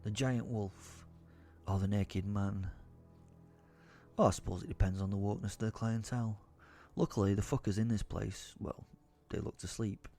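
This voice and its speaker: A man acts out a raging, comic werewolf telling his own tale in an audio drama. The voice is low and mellow, the delivery theatrical and rambling, full of swearing and repetition. Music plays faintly behind him. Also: steady tone, moderate pace, natural voice. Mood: wry, confiding. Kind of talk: dramatised first-person storytelling. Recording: music under the voice.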